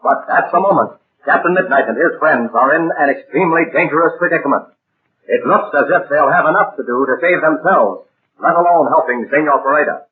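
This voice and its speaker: A narrow, tinny vintage radio recording of a male announcer. His fairly high voice delivers scripted serial narration in a dramatic, declamatory way. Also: fairly quick. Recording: tinny, band-limited 1930s radio recording.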